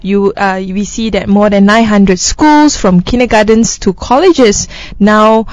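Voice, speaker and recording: A woman's voice: medium pitch, unhurried, darkish tone, no echo, muffled recording. Chatty woman co-hosting a news talk show. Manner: chatty, laughs often between news items. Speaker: co-host of a news discussion show. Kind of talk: casual news discussion.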